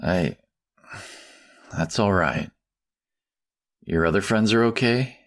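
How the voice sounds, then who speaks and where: A man playing a polite, worried middle-aged father who speaks haltingly and gratefully in an audiobook. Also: low voice, creaky, full character voice. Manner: halting, polite, soft-spoken hesitations. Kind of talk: audiobook dialogue acting.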